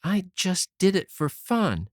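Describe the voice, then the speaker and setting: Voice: very animated, takes it slowly, no echo, clean studio sound. A reader voicing a mischievous six-year-old boy who pleads and questions eagerly, in an audiobook. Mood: cheeky. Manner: high, eager childish pleading. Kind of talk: audiobook character voice.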